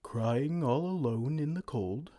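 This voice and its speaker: A man voicing a gentle, kind male giant who talks softly and soothingly in an ASMR roleplay. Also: mid-range voice, slow pace, natural voice. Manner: soft, soothing, comforting.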